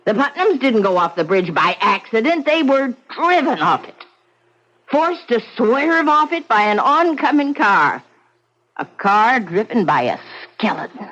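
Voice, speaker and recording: A bitter old widow who speaks slowly and darkly, her voice rising and falling widely with every grim warning. The sound is dull and muffled, like an old radio play recording. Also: on the high side, big pitch swings, fairly bright tone, heavily acted. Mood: ominous. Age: older. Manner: dire, brooding, theatrical. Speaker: widow in wheelchair. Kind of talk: vintage radio drama acting.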